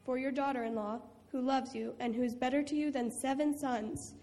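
A high female voice rings out in long reverb. It is a woman acting an ancient Bethlehem villager, reciting a blessing in declamatory praise for a scripture drama. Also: steady tone, a little fast, dark tone. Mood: joyful praise. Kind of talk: church dramatic reading of scripture.

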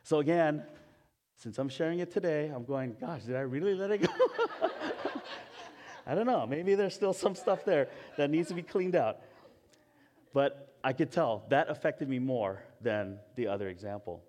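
A man's voice: fairly high pitch, lively intonation, darkish tone, a little hiss or hum. A man from Hawaii, a pastor, gives a conversational sermon with personal stories. He laughs at himself often and restarts his sentences a lot. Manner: chatty and self-mocking, laughs often at himself; halting, frequent restarts and fillers.

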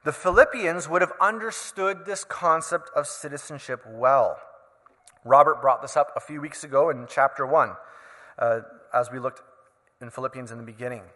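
A man from Canada preaching a sermon in a conversational, explanatory way, with relaxed asides. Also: mid-range voice, normal speed. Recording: long reverb of a large hall.

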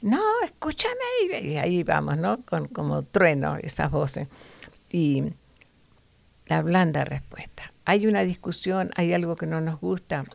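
Gravelly-voiced woman teaching Bible lessons. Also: low-pitched, lively intonation, unhurried, dry recording. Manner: earnest, preachy, with fillers and restarts.

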